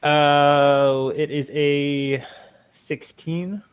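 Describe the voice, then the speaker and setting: Unhurried man, slightly high voice. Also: steady tone, smooth. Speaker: player in tabletop game. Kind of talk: casual game chat.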